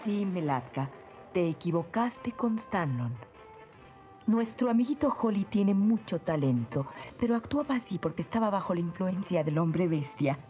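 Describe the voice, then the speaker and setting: A woman playing a wise, calm sorceress in a dubbed cartoon, composed and explanatory. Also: on the low side, moderate pace, lightly acted. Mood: calm, explaining. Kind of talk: cartoon dub acting. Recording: dull lo-fi sound, faint music.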